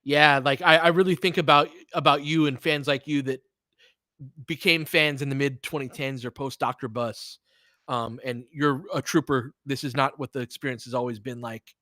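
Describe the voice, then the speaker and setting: A man's voice: medium pitch, fairly even intonation, normal speed, sharp tone, dry recording. A man with a mid-range voice hosts a casual sports stream. He talks conversationally, working out his thoughts aloud and sometimes leaving them unfinished. The sound is close and dry, with very little room in it. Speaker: host of an audio play-by-play fan stream. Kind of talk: casual sports chat, answering listeners during play.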